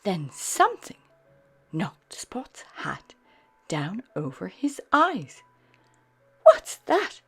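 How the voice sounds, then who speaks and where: A woman narrating a children's storybook in an expressive, unhurried read-aloud style. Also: on the low side, husky. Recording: music behind the voice.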